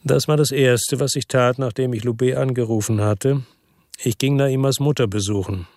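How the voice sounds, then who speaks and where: A man's voice: medium pitch, fairly even intonation, a little mellow, no room sound, clean studio sound, natural voice. An actor playing a brooding investigator who narrates his story in a radio drama. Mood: somber. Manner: slow, somber narration. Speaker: first-person narrator.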